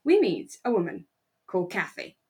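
A woman's voice: mid-range voice, sing-song, normal speed, gravelly, darkish tone. A woman with a British accent chatting casually and reflectively as a podcast co-host. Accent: British English. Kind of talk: casual chat, discussing a radio drama.

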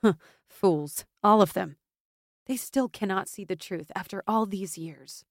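A woman's voice: lowish voice, moderate pace, no room sound, clean studio sound, lightly acted. A woman voicing a proud, bitter exiled warrior noblewoman in a fantasy audiobook, firm and wry.

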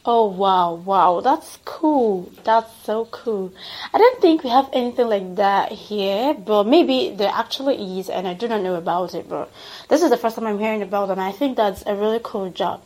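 A woman with a Nigerian accent hosting a podcast interview, enthusiastic and quick to laugh, with many exclamations. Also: medium pitch, darkish tone, low-quality recording. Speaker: podcast host. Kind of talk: casual interview chat.

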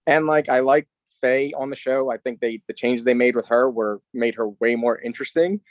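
A man's voice: mid-range voice, moderate pace, no room sound, highs cut off. A man co-hosting a podcast, chatting casually and giving his opinions. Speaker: podcast co-host.

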